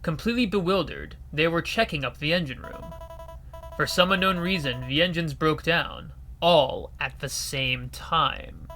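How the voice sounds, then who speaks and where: A man narrating a visual novel story in a steady, expressive reading. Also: fairly high pitch, a little slow. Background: faint music.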